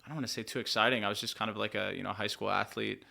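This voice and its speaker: Laid-back young man with a gravelly low voice. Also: steady tone, very fast, pushed and bright. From Pennsylvania. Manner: laid-back, rambling, heavy on fillers like "like" and "dude". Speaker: musician guest. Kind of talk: casual interview chat. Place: podcast studio.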